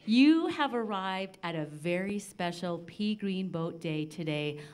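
A middle-aged American woman from western Nebraska presenting a live children's radio show, speaking directly to listeners in simple, deliberate phrases. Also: fairly low pitch, expressive, a little mellow, clean tone. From western Nebraska, United States. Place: large library event room with seated audience. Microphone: microphone on a stand, close in front.

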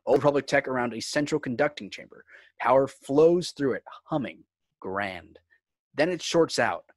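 A man's voice: mid-range voice, normal speed, dry recording. A man working as narrator and host of a fan script reading. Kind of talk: reading screenplay directions, host outro. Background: music.

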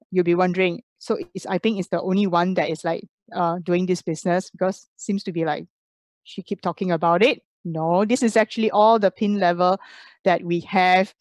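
A woman's voice: medium pitch, no room sound. A woman giving an online business presentation, persuasive and full of rhetorical questions.

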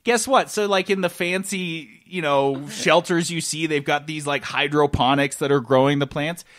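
Higher-pitched man, joking podcast co-host. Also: normal speed, a bit bright. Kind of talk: casual comedic group chat.

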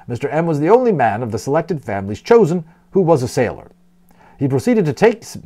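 Animated man reading a storybook aloud. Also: medium pitch. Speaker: audiobook narrator and storyteller. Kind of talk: animated read-aloud of a children's novel.

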